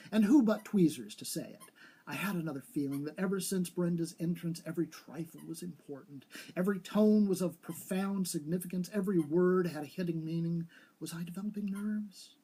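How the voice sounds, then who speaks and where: Husky, higher-pitched man reading a ghost story. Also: dark tone. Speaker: story reader. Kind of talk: live dramatic reading of a vintage ghost story.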